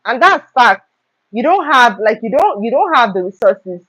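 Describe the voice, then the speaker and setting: A woman's voice: a little high, big pitch swings, normal speed, fairly bright tone. Earnest young woman giving a motivational talk. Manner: earnest, repeats "okay?" and "right?" to check in with listeners. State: slightly hoarse throat. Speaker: live stream host. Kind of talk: motivational talk on a live stream. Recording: dull lo-fi live stream audio.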